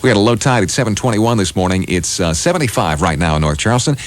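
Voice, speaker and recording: A man's voice: on the low side, expressive, fast. A man hosting morning radio with upbeat, folksy, joking patter. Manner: chatty, folksy, jokey. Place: radio studio. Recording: 1980s radio aircheck over music. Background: music.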